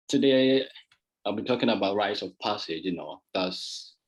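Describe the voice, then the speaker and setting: A man from Ghana giving a relaxed, conversational presentation on a video call, with many fillers. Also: low-pitched. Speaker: guest artist presenter. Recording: dull lo-fi call audio.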